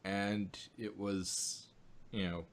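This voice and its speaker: A man's voice: fairly low pitch, flat delivery, extremely slow. A man casually chatting as a podcast co-host, hedging and hesitant, with many fillers. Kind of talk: casual chat.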